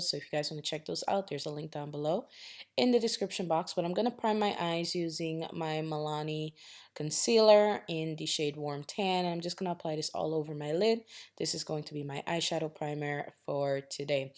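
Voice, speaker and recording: A woman with a raspy voice narrating a casual step-by-step makeup tutorial, chatting straight to her viewers and checking in with them often. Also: fairly low pitch, darkish tone. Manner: chatty, addresses viewers directly, tags lines with "okay?".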